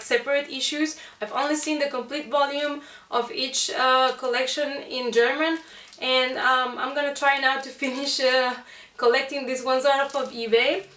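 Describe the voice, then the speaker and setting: Female haul-video host, bright and chatty, recorded in an echoey room a bit away from the mic. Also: high voice. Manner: chatty, enthusiastic. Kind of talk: casual vlog chat to viewers. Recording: roomy echo, distant microphone.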